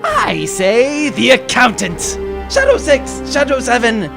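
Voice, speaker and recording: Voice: medium pitch, huge pitch swings, slow pace, vocal fry, over music, full character voice. An actor playing a sarcastic, pompous villain baron who gloats and threatens captives in a comedic audio drama. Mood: smug, sarcastic.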